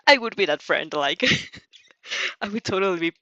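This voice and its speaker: A woman narrating anime fan fiction in an expressive, giggly storytelling manner. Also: medium pitch, measured pace, no room sound, highs cut off.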